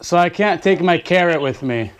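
A man's voice: sits high, normal speed, slight background noise. A young man filming a jokey handheld travel vlog, laughing and chattering as he moves through airports. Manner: excitable, laughing, joking. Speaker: vlogger. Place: airports and a train. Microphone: action camera held close.

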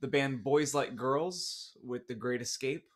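A man's voice: medium pitch, a little slow, fairly bright tone. Man in casual podcast banter. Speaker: podcast co-host. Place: small home studio room.

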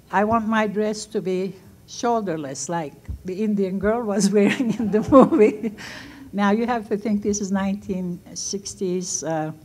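A woman's voice: mid-range voice, darkish tone, slight background noise. A Turkish-born woman in her sixties, a non-native English speaker, telling personal stories on a museum panel with frequent fillers and laughs, into a table mic on a stage. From Turkey. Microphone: table mic on stand.

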